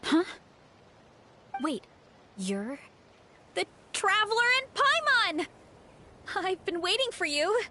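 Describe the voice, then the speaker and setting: A woman voicing an eager, starstruck young warrior in training in an animated video game style, gushing with excitement. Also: extremely high pitch, slow pace, long pauses, a little hiss or hum, heavily acted. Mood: surprised, excited.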